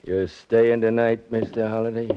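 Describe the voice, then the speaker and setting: A man's voice: medium pitch, level tone, moderate pace, clean tone, natural voice. A man acting a sly flophouse proprietor in a 1940s radio drama, needling with oily mock politeness. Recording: muffled old-time radio recording.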